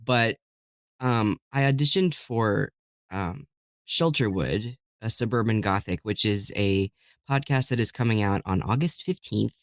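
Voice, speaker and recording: A man's voice: lowish voice, a little slow, no echo, studio quality. A man talking casually and enthusiastically as a guest in an interview about his work as a voice actor. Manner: enthusiastic, chuckles while talking. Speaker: guest voice actor and audiobook narrator.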